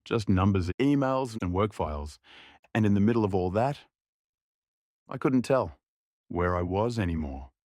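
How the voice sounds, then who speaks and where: A young man narrates a scripted audio drama in a subdued, reflective inner voice. His pitch sits a little low, and the recording is close and dry. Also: lively intonation, normal speed, long pauses, darkish tone, no echo.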